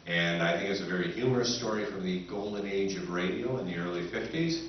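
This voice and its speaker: A man with a low voice opens a convention show in a relaxed, chatty manner. The microphone is far from him. The hall echoes heavily and the sound is dull. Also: fairly quick, slight background noise. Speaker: convention radio recreation director. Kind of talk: casual stage introduction.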